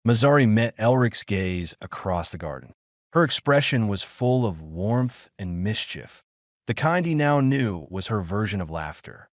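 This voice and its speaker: A man narrating a scripted science fiction story in measured, short phrases with dramatic pauses. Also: on the low side, dry recording, studio quality. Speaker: audiobook narrator.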